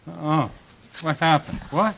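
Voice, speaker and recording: An actor playing a tormented, haunted man in a radio drama, speaking in a brooding, halting, intense way. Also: a little high, creaky, fairly bright tone, breathy edge, exaggerated voice, poor audio. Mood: shaken, confused. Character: Jack, a tormented man haunted by falling dreams. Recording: hissy amateur recording.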